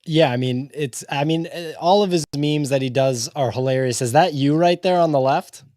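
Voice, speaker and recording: A man's voice: on the high side, normal speed, darkish tone, no room sound, clean studio sound. An American man co-hosting a podcast, asking questions in casual, conversational interview chat. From United States. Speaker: podcast co-host.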